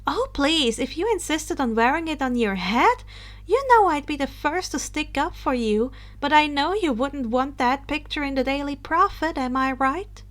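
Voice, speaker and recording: A woman's voice: high-pitched, expressive, normal speed, pitched above the performer's own voice. A woman voicing a playful, eccentric teenage girl witch for a story, airy and teasing in manner. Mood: teasing, reassuring.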